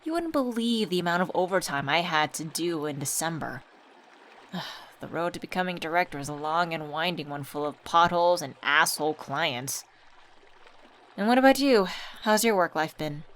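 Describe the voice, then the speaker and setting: A woman's voice: low voice, lively intonation, normal speed. A young woman acting as a teasing, affectionate old friend in a casual ASMR comfort roleplay. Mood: sympathetic, candid. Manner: playful, teasing, familiar slangy chat.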